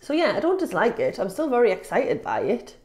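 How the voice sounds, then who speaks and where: A woman's voice: a little high, big pitch swings, a little fast, a little mellow. A woman chatting casually as a beauty vlogger, informal and self-deprecating, sounding sniffly and congested. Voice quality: congested, nasal. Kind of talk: casual chat to camera, makeup review. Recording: echoey room.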